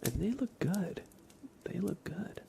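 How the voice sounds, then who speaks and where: Guy doing asmr stream chat, slow n soft, half whispered, bit of gravel in it. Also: high voice, sing-song, a little hiss or hum. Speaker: livestream host. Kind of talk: ASMR livestream, casual chat with viewers.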